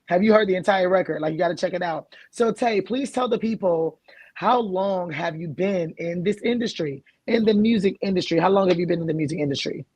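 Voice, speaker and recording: Male interview host, fairly high pitch, dry recording, enthusiastic. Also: fairly quick, darkish tone, slightly rough, no echo. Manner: reads viewer comments aloud, enthusiastic reactions. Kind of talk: casual interview.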